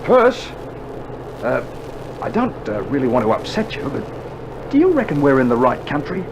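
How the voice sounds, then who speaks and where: A man's voice: medium pitch, huge pitch swings, moderate pace. An actor playing a cheeky, skeptical young Australian infantryman with dry sarcastic banter, in a scripted mid-century radio drama. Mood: mock innocent, sardonic. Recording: noisy old vinyl radio drama recording.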